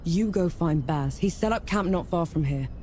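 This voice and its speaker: A woman playing a tough, sardonic young soldier, brisk and protective, in a cinematic video game. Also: on the low side, natural voice. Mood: teasing, resolute. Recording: loud game noise behind voice.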